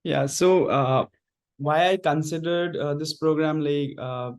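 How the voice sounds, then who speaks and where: A young man from India with an Indian accent, speaking haltingly as a student on a video call. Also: fairly high pitch. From New Delhi, India. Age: young adult. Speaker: graduate student panelist. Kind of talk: casual self-introduction. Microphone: video call microphone.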